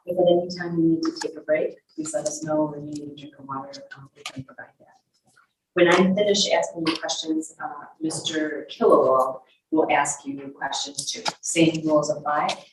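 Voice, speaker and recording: A woman's voice: deep voice, steady tone, normal speed. A distant, echoey courtroom recording with faint noise carries a woman's voice. She is a prosecutor, putting questions to a witness in an orderly, formal way. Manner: orderly, procedural.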